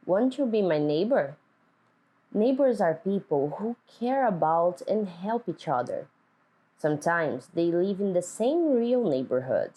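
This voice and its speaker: A woman with a soft-edged, mellow voice reads a picture book to young children. She takes her time, with a very slow, deliberate delivery, and pitches her voice a little low. She puts simple questions to the listeners as a teacher would. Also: rough recording. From Brazil. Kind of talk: read-aloud lesson with simple questions to children.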